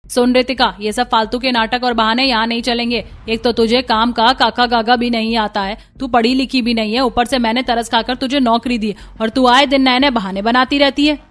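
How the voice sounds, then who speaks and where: A woman's voice: on the high side, steady tone, moderate pace, few pauses, lightly acted. A woman voicing a rude, bullying beauty parlour boss in a cartoon story, scornful and bossy. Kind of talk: Hindi cartoon story voice acting.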